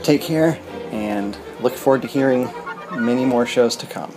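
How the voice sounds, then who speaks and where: A man's voice: mid-range voice, normal speed. A man, a podcast host, leaving a friendly, casual congratulatory message. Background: music.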